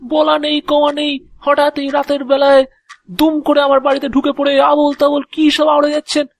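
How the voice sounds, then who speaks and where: A man's voice: very high-pitched, completely flat delivery, fairly quick. A man playing an agitated, exasperated householder in an audio drama, stammering and sarcastic as he confronts an intruder. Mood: indignant.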